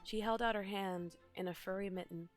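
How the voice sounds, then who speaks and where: A woman's voice: mid-range voice, steady tone, moderate pace. A woman streamer reading game dialogue aloud and translating it, in a dramatic narrating manner. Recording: streamed with game music behind.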